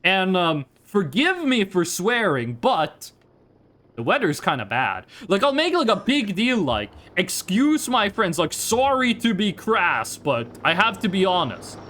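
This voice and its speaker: A man's voice: sits high, sing-song, unhurried, pushed and bright. A young man from Northern Norway, a Norwegian native speaker with a Northern Norwegian accent, chatting casually on a game livestream, rambling through stories with mock-formal asides. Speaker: streamer. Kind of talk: casual livestream chat while gaming.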